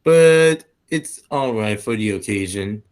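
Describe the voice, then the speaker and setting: A man playing a gentle, bashful middle-aged salesman in a 1940s-style radio play, with a hesitant, measured delivery. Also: on the low side, lively intonation, smooth.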